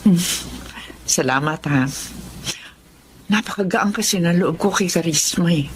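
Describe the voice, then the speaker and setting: A woman's voice: on the low side, unhurried, slight background noise, close to an everyday voice. A Filipino woman playing a caring middle-aged wife in a radio drama, earnest and sympathetic. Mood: tender, wistful.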